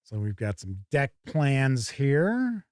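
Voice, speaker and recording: A man's voice: medium pitch, huge pitch swings, slow, darkish tone, dry recording, studio quality. A man hosting a tabletop gaming show, chatting casually and thinking aloud as he gives live first-look commentary.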